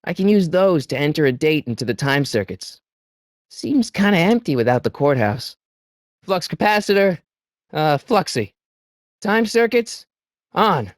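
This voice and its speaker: An actor playing an excitable, good-hearted teenage boy in an adventure video game, with hesitant stammers and an incredulous way of questioning things. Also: a little high, a little slow, long pauses, no echo, clean studio sound. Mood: musing, curious. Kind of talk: animated video game voice acting.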